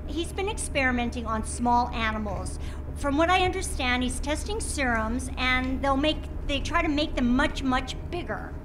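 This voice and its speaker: Woman as a perky, high-voiced young co-ed. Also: animated, normal speed. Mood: curious. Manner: perky, wry. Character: perky, wry young female college student. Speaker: voice actor. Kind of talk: comic audio drama acting. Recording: clearly noisy recording.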